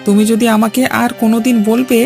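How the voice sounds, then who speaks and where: A woman playing a sharp-tongued village vegetable farmer in a cartoon, arguing and scolding with emphasis. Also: on the high side, level tone, fast, faint music behind, close to an everyday voice. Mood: defiant, indignant. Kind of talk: Bengali cartoon dialogue.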